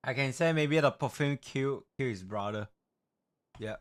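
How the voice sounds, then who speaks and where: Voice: mid-range voice, normal speed. A streamer reacting live to a game with puzzled, exclamatory interjections.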